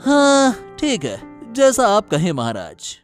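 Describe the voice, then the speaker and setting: A man's voice: high-pitched, huge pitch swings, takes it slowly, faint music behind, heavily acted. A man voicing a greedy, scheming royal courtier in a cartoon story, with an oily, insinuating manner. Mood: fawning, insinuating. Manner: oily, insinuating, talks aloud to himself. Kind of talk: animated moral story acting.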